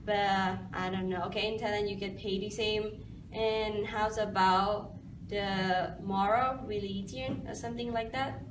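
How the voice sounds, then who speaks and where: A woman's voice: medium pitch, little pitch movement, a little slow. Student woman asking questions, far off in an echoey noisy room, lots of likes and ums. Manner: non-native English, many fillers and prompts. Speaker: student interviewer. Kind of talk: casual student interview.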